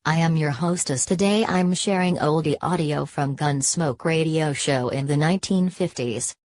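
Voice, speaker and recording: A woman with a low voice presents a scripted introduction as a program hostess. The sound is close and dry, without room echo. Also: normal speed, a little mellow. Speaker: hostess introducing old radio audio.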